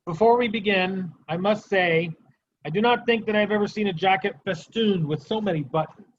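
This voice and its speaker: A man's voice: high-pitched, moderate pace, slight background noise, lightly acted. A man playing a stern, sardonic Soviet prosecutor in an amateur dramatic reading, questioning formally and curtly. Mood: sternly sardonic. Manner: formal, clipped interrogation. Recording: dull, muffled lo-fi recording.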